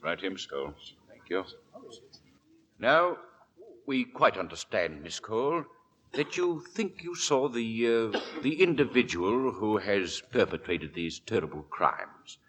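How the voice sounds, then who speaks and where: A man's voice: on the low side, lively intonation, fairly bright tone, breathy edge, heavily acted. A man playing a courteous, probing Victorian coroner in an old radio drama, formal and official, with hesitations. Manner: formal, measured, hesitant with uhs.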